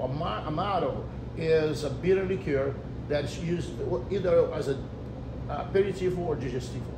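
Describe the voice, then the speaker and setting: A man in his fifties who owns a restaurant, explaining things in an animated, gesturing way during a casual interview. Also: on the high side. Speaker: restaurant owner. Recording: echoey room with ambient noise.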